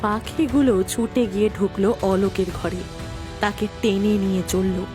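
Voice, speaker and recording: A woman's voice: mid-range voice, steady tone, unhurried. A woman reading scripted narration for a dramatic story. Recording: music behind the voice.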